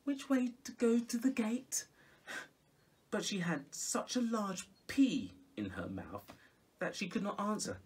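A middle-aged man telling a children's story in a theatrical, expressive way. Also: high-pitched, slow pace, breathy edge. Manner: theatrical, expressive, with hushed whispers and exclamations. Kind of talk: animated storybook reading. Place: small home music room indoors.

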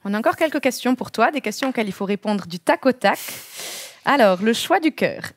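Une jeune femme qui coanime une émission télé et enchaîne des questions rapides d'un ton vif. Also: female voice, fairly high pitch, lively intonation, dry recording, clean studio sound. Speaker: co-host. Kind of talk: TV interview, quick-fire questions. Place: TV studio set.

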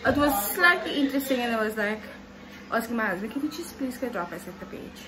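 A woman's voice: a little high, lively intonation, fast, over a noisy crowd, low-quality recording. A young adult woman with a South African accent, chatting informally as she vlogs from a room at home. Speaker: vlogger and mother. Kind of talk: casual vlog chat. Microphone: phone at arm's length.

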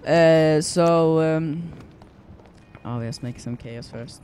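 A man's voice: fairly high pitch, very slow, noisy background. A young man from Sweden with a Swedish accent, rambling through casual gameplay commentary with many fillers and restarts. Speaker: gaming YouTuber.

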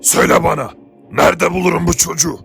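A cruel warlord played by a male actor commands in a very gravelly, somewhat high voice. He is menacing and imperious, a cartoon villain with music behind him. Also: fast talker, a bit bright. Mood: furious. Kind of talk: animated adventure voice acting.

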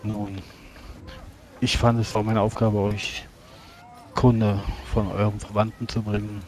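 A man playing a solemn, measured priest in a tabletop game, speaking formally and archaically as he counsels a comrade. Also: lowish voice, little pitch movement, a little mellow, a little hiss or hum, close to an everyday voice. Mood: calm, reproving. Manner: formal archaic speech, preachy, deliberate. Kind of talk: tabletop roleplay in character.

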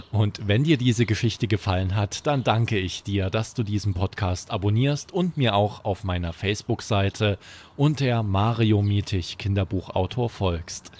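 Bedtime story host: male, slightly low pitch, slow scripted narration for children. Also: a little mellow.

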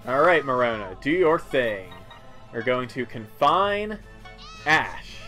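Man, mid-pitched voice, unhurried pace, casual gameplay commentary, wry offhand asides. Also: sing-song, pushed and bright. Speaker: video game commentator. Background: game music.

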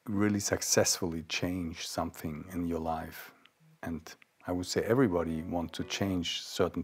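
Low-voiced, slow older man. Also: a little mellow, husky. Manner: halting, word-searching delivery. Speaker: host. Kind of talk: reflective conversational interview. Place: indoor room, seated.

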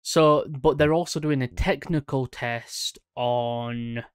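A man's voice: mid-range voice, slow, clean tone, no room sound, studio quality. A young man hosting a horror channel, talking casually about a game trailer, rambling and correcting himself with lots of fillers, at a desk mic in a small room. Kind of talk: casual reaction commentary. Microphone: studio mic on stand in front.

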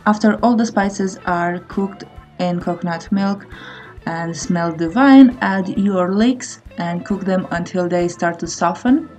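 A woman's voice: on the low side, slow, a little mellow. A woman in her thirties giving a casual recipe voice-over as a cooking vlogger. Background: faint guitar music.

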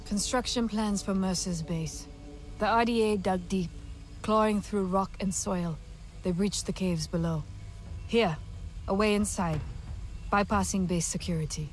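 An actress playing a wary, grave alien clanswoman in a video game, measured and solemn. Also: medium pitch, lightly acted.

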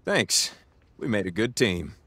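An actor playing a tough, casual gang boss in a video game, terse and dry. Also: male voice, on the low side, very animated, unhurried, sharp tone, husky, dry recording, full character voice. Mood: satisfied. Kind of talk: video game cutscene acting.

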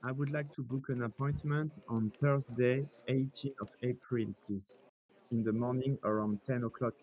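A man's voice: medium pitch, fairly even intonation, normal speed, phone-quality sound. A middle-aged man, a native French speaker with a French accent, presenting and talking casually in a demo. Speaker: presenter and caller. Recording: clear background noise.

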